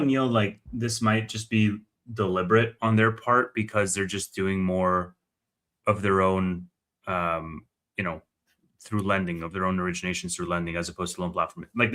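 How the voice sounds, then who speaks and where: A man's voice: deep voice, steady tone, normal speed, sharp tone. A man hosting a casual live commentary, conversational and thinking aloud as he reads out figures. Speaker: live stream host.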